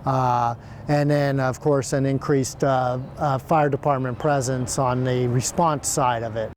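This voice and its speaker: Halting man with medium-pitched voice. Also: fairly even intonation, slight background noise. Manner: halting, searching for words. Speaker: fire chief. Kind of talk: unscripted interview answer.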